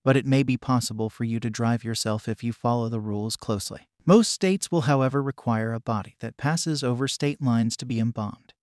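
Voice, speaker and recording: A man narrating an informational script in an even, measured reading voice, recorded close on a voice-over mic. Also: mid-range voice, dry recording.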